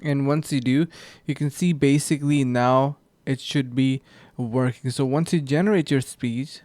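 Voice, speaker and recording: A man hosting a screen-recorded software tutorial. He explains the steps casually and says "uh" and "um" often. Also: mid-range voice, fairly even intonation, unhurried, dry recording. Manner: casual, step-by-step, with frequent fillers. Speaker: tutorial host.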